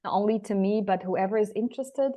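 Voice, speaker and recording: A woman's voice: medium pitch, fast talker, dark tone. A woman from Tunisia, hosting a podcast interview in a casual, hesitant way with many fillers. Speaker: podcast host.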